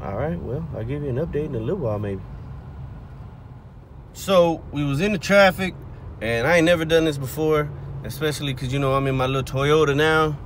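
A man's voice: on the high side, brisk. A young man casually vlogging while driving, joking and swearing as he talks to a phone camera held close. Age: young adult. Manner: joking, profane, teasing. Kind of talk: casual road trip vlog. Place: inside a car on the road. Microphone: phone camera held close in the car. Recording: loud road noise in the car.

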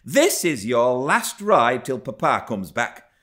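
A man's voice: medium pitch, very animated, takes it slowly, a bit bright, voice pushed up. Man as an emphatic fretful housekeeper woman. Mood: stern scolding. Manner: emphatic, reproachful. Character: anxious, scolding woman housekeeper, stern but fond.

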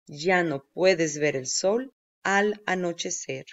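A woman reading a children's nonfiction book aloud with deliberate, clear phrasing for young learners. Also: lowish voice. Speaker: read-aloud narrator. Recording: dull, lo-fi sound.

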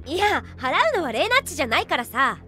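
A brash tomboy girl, rough and impatient, her very high voice jumping about over clear music. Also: very animated, quick pace, pushed and bright, heavily acted. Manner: crude, impatient.

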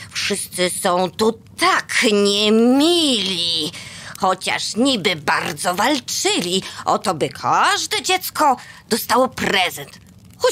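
A woman's voice: mid-range voice, fairly bright tone, full character voice. A woman voicing a small, homesick young male elf in a rhyming children's radio play, fretful and tearful. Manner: fretful, whiny rhyming complaints.

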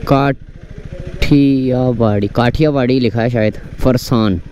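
A man's voice: medium pitch, fairly even intonation, takes it slowly, darkish tone, slight background noise. A man chatting casually to camera as a travel vlogger, often repeating his phrases. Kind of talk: casual vlog chat to camera.

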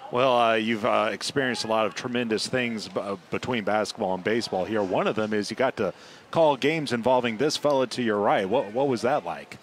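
A man's voice: on the low side, brisk. A man doing live baseball play-by-play and interviewing a guest from the broadcast booth. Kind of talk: live sports commentary mixed with interview. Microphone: broadcast headset. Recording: clear outdoor ballpark noise behind voice.

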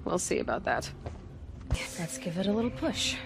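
An actress playing a determined, guarded young huntress in a video game, speaking directly and insistently. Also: female voice, on the low side, very animated, moderate pace, gravelly, over music. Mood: focused. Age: young adult. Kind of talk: video game cutscene acting.

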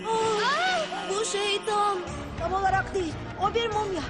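A cheeky little boy adventurer, speaks in a very high, youthful voice. It is lively cartoon dub acting over loud music. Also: unhurried. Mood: alarmed.

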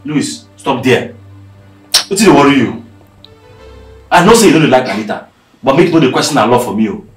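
A man's voice: medium pitch, sing-song, fairly quick, echoey room, faint music behind, natural voice. An actor playing a broke young man pleading with his girlfriend, with a Nigerian accent, slipping into Pidgin. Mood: defensive. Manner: pleading, defensive, slips into Pidgin.

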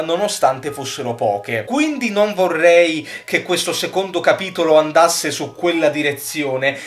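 A young man speaks in a high-pitched voice with very lively intonation. He delivers scripted film commentary in an earnest, opinionated way. Music plays behind him. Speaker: film news vlogger. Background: background music.

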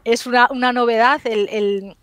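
A woman in her forties from Galicia whose first language is Galician, speaking as a historian guest over a video call with thoughtful pauses and tag questions. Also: a little high, steady tone, a bit bright, slight background noise. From Galicia, Spain. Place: home study lined with bookshelves. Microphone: headset on video call.

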